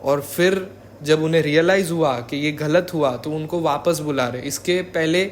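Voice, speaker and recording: A man's voice: on the high side, moderate pace, a little hiss or hum. A young man giving informal spoken commentary as a vlogger, mixing in English words. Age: young adult. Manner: code-switches with English words.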